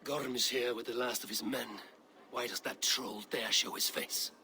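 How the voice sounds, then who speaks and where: An actor playing a fierce, vengeful Viking warrior loyal to the clan, in a dramatic game cutscene. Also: male voice, mid-range voice, steady tone, normal speed, pushed and bright, a little hiss or hum. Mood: grim, subdued.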